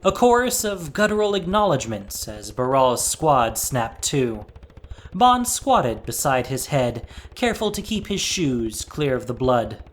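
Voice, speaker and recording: A man's voice: mid-range voice, big pitch swings, unhurried. A man narrating a scripted audio drama in a dramatic storytelling style.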